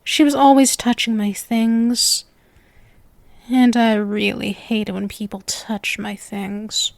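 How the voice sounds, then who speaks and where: A woman's voice: fairly high pitch, level tone, slow pace, creaky, no echo. A woman playing a sweet, possessive, lovestruck young witch in an ASMR roleplay, coy and teasing as she addresses the listener. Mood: chatty, teasing. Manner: coy, teasing, sugary with menacing devotion.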